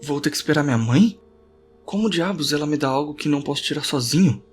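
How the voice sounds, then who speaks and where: A man's voice: on the high side, lively intonation, fast talker, lightly acted. Casting: male actor for teenage gamer hero, frustrated, muttering, fantasy audio drama, music bed. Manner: grumbling, muttering to himself. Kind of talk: acted audio novel dialogue. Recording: background music under the voice.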